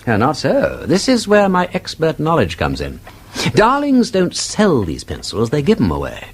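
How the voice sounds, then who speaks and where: Breezy, swooping aristocratic gentleman sleuth. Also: on the high side, wildly swooping pitch, moderate pace, a little hiss or hum. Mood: breezy, amused. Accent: upper-class English. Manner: theatrical, playfully pedantic, flourishing delivery. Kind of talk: British radio drama acting.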